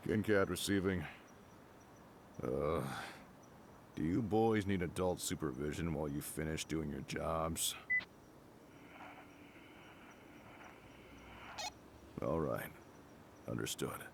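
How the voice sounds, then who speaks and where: A man playing a gruff, kindly middle-aged firefighter captain in an intimate audio roleplay, reassuring and a little awkward, with a gravelly voice. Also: a bass voice, a little hiss or hum, exaggerated voice. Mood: businesslike. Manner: reassuring, slightly awkward, hesitant pauses.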